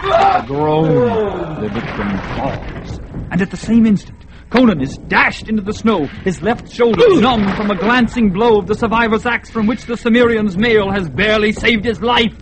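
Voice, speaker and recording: A man giving dramatic scripted narration for a radio drama, measured and intense. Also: sits high, animated, noisy background. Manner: dramatic, measured, rising with the action.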